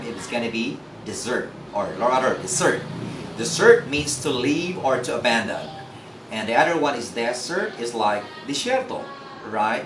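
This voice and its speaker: A man teaches English word pronunciation in an animated, jokey way, quizzing his listeners as he goes. His pitch swings widely. He sounds set back from the mic in an echoey room with steady background noise. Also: distant mic. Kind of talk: classroom-style lesson.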